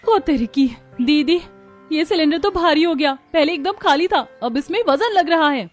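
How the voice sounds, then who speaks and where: A woman voicing earnest, enterprising village women in scripted cartoon dialogue, with an expressive, homely manner. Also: sits high, normal speed, faint music behind. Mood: excited, proud.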